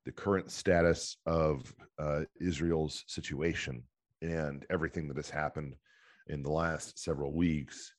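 A man's voice: rumbling low, steady tone, measured pace. A male podcast host asking long, loose questions full of "uh" fillers. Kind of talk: conversational interview.

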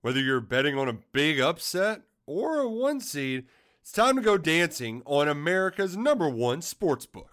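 A man delivers a scripted promotional spot as an announcer. His voice is slightly high, with a very lively, animated pitch range. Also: normal speed. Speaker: advertisement announcer.

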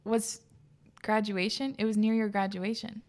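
A woman in her early twenties hosting a casual interview, bubbly and giggly, full of "like" and quick agreements. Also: mid-range voice, little pitch movement, a little mellow. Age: 20s. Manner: bubbly, giggly, lots of "like" and backchannels. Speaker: podcast host.

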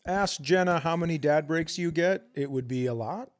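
A man's voice: on the high side, moderate pace. A man co-hosting a comedy podcast, trading casual banter with blunt, profane teasing.

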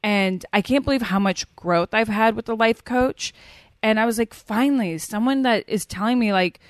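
A woman's voice: fairly high pitch, moderate pace, no room sound, studio quality. A woman guest on a podcast, chatting and telling stories with quoted asides. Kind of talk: casual chat.

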